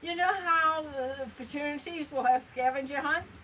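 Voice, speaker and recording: Older woman with a Maine accent, rambling and halting, high voice, slightly distant mic with faint noise. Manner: rambling, halting storyteller who laughs often. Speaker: interviewee. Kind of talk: casual family interview. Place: front porch outdoors.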